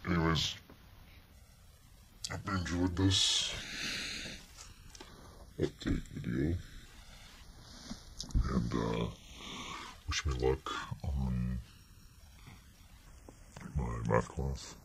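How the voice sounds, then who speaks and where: A young man with an extremely low voice and a creaky edge talks casually to a webcam. He meanders slowly and trails off between thoughts, over a faint noise in the recording. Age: 20s. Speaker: vlogger. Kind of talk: casual rambling update vlog. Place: small bedroom indoors. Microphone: webcam at desk distance. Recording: noisy webcam audio.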